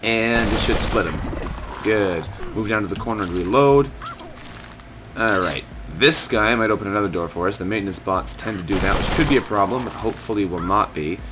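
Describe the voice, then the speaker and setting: A man with a hoarse, scratchy voice and a fairly low pitch talks through a video game at a steady, methodical pace. Game sounds and gunfire come through clearly behind his voice. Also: brisk. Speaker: gaming channel host. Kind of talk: let's play commentary.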